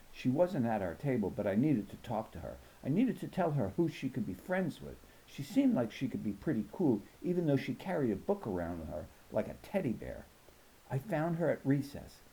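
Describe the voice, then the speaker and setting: A man's voice: medium pitch, dark tone, poor audio. A man voicing a chatty, bossy preteen schoolgirl with slangy valley-girl phrasing for a storybook. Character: gossipy, bossy preteen schoolgirl who says "like" a lot.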